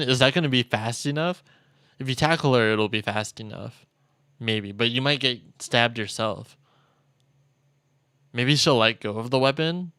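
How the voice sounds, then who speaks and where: A man's voice: medium pitch, pushed and bright, clear, resonant tone, no room sound. A man streaming a game, expressively reading visual novel narration aloud. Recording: dry close mic, faint game music.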